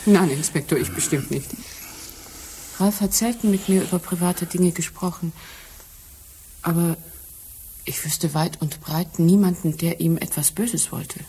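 An actress playing a shaken, loyal and discreet secretary in a German radio crime drama, speaking softly and guardedly. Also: female voice, lowish voice, unhurried, pauses often, a little hiss or hum. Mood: guarded, subdued.

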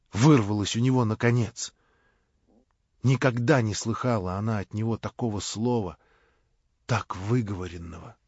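A man's voice: lowish voice, darkish tone, husky, dry recording. Man reading as weak shaky old gent, scared, barely there voice, dull dry audio. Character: sick, frightened elderly gentleman, weak and tearful. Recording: dull dry studio sound.

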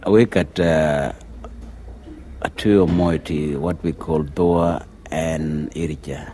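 A man giving hesitant interview answers, pausing often with fillers. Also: rumbling low, fairly even intonation, warm tone. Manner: hesitant, many pauses and fillers. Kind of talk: halting interview answers in English.

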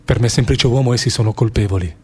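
An actor playing an anguished, devout public prosecutor in a theatrical radio drama, speaking solemnly and earnestly with a husky voice. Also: male voice, medium pitch, fast. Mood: anguished, praying.

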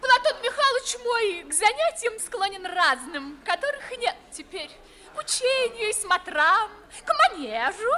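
An actress playing a gushing, fussing, domineering young society wife, cooing and affected, in a 1950s radio comedy. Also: female voice, very high-pitched, very animated, unhurried, bright, forceful tone, heavily acted. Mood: sugary, controlling. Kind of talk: 1950s Russian stage comedy acting for radio.

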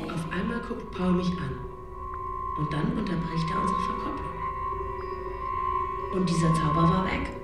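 An actress playing a wistful young woman who narrates her memories in a German radio drama, with echo and loud music. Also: fairly low pitch, normal speed, long pauses, lightly acted. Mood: wistful, subdued. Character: wistful young woman recalling a lost spell. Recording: echoey, loud music underneath.

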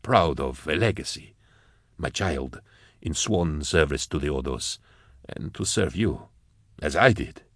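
Man acting a bitter, grieving, low-voiced older agent. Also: expressive, slightly rough, no room sound, clean studio sound, full character voice. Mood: bitter, mournful. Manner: accusing, measured, rhetorical. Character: bitter grieving old male agent, accusing his commander. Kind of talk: audiobook character acting.

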